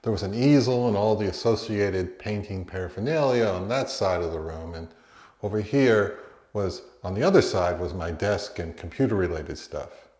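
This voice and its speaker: A middle-aged man narrating a hi-fi vlog in a conversational, measured, explanatory way. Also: low voice, darkish tone, roomy sound. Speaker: hi-fi YouTuber. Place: indoors in a home room.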